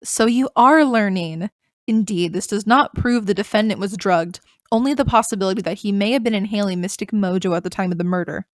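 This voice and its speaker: A woman voicing a composed female rabbit prosecutor who speaks in a cool, measured, condescending way. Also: mid-range voice, no echo, clean studio sound, natural voice. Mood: smug.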